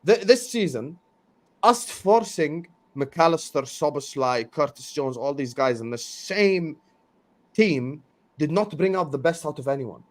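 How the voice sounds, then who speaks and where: A man hosting a football stream, arguing his points emphatically and repeating words as he gets excited. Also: a little high, unhurried. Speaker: host of a football transfer stream. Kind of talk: casual football debate on a livestream.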